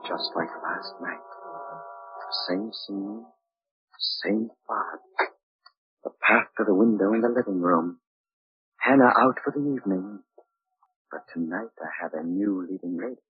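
An actor in an old-time radio drama playing a bitter, paranoid murderous husband, sardonic, menacing and theatrical. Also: male voice, low voice, unhurried, pauses often, husky, exaggerated voice. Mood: brooding, sardonic. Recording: dull, echoey old radio recording.

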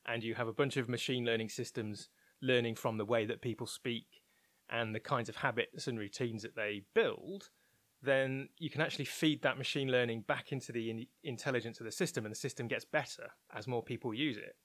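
A man's voice: mid-range voice, fairly quick, fairly bright tone, no echo. Man, informal and candid, close dry sound. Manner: informal, candid, thinking aloud. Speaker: interview guest, technology researcher at a broadcaster. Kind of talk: conversational interview, explaining opinions.

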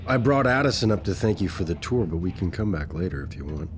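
A man's voice: low-pitched, expressive, fast talker, a little hiss or hum. An actor playing a mild, harried father and security chief, hesitant and placating, in a naturalistic audio drama. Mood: deferential.

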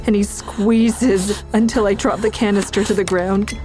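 An actress playing a frightened woman who tells her own ordeal in an audio drama, with tense, measured storytelling. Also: medium pitch, fairly even intonation, a little mellow, close to an everyday voice. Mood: tense narration. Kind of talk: dramatic first-person narration and dialogue. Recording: music behind the voice.

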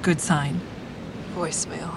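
An actress narrating in first person as a grieving, quietly deceptive woman in an audio drama, measured and introspective, with a steady vehicle rumble behind. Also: vocal fry, heavily acted. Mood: guarded.

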